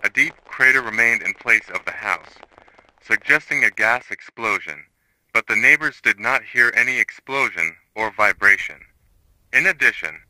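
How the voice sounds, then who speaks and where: A man playing a grim retired investigator, reciting a horror case report in a flat, formal manner. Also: on the low side, dry recording, low-quality recording. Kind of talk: scripted narration, analog horror case report.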